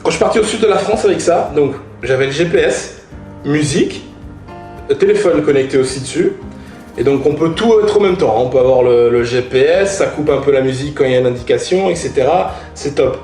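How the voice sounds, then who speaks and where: A man's voice: a little high, animated, a little fast, darkish tone, reverberant, soft background music. A man chatting informally to viewers as he reviews gear, slangy and emphatic, repeating points for effect. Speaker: motorcycle vlogger reviewing gear. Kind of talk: casual product review talk to viewers.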